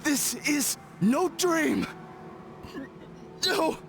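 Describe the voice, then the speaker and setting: An actor playing a tormented, bitterly defiant man in a video game, halting, scornful and dramatic. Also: very high voice, animated, gravelly, background noise, heavily acted. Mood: disbelieving, distraught. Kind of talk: scripted video game acting.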